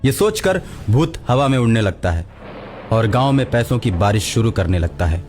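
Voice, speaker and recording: A man's voice: mid-range voice, sing-song, normal speed, a little mellow, faint music behind. A man narrating a scripted cartoon story as the storyteller.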